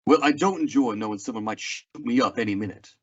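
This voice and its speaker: A man's voice: mid-range voice, quick pace, a little mellow, husky, natural voice. A young man acting a weary, plainspoken Old West marshal in a radio Western drama. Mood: firm, troubled. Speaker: actor in a school radio theatre production. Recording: dull lo-fi radio-play recording.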